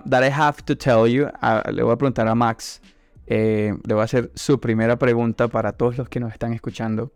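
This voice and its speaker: Slow-talking man hosting a chat. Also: medium pitch, clear, resonant tone, no echo. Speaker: interview host. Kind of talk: casual bilingual podcast interview chat.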